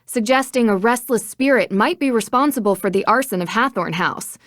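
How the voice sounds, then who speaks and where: A woman voicing an inquisitive young American detective in a video game, speaking in a measured, thoughtful way. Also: fairly high pitch, no echo, close to an everyday voice. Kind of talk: video game dialogue acting.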